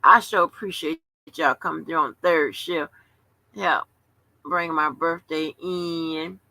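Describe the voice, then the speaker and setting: A woman's voice: deep voice, takes it slowly, gravelly, bright, forceful tone, no echo. A woman in her seventies hosting a casual late-night livestream, talking in a rambling, chatty way with salty slang. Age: 70s. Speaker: livestream host.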